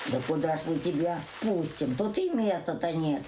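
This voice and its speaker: A woman voicing a gruff, steady male ant who grumbles in a low, unhurried voice. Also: background noise. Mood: grumbling.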